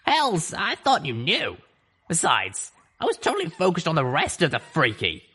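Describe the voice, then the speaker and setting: A man's voice: a little high, huge pitch swings, normal speed, pushed and bright, husky, voice pushed up, heavily acted. Man doing a drawling griffin mercenary woman. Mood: blunt. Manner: drawling, sardonic. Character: gruff tough female griffin mercenary, chain-smoker. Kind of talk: audiobook character voice.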